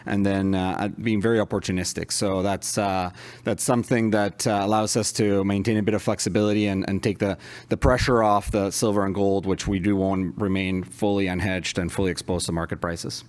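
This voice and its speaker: A man from Argentina, Spanish his first language, walks investors through finances in measured English with many fillers. His voice is low and fairly flat in pitch. It comes across smooth and clear. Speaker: finance executive presenting. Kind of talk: investor presentation.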